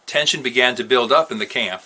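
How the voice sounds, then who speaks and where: A man reading a novel aloud as an expressive storyteller. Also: mid-range voice, fairly quick, breathy edge. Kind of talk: expressive read-aloud narration.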